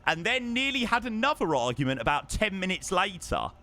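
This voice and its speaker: A young man hosting a live stream, telling stories in a casual, chatty way. His voice is fairly high and bright, and his pitch swings widely as he gets animated. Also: brisk. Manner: chatty, animated storytelling, reads chat aloud. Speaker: flight sim streamer.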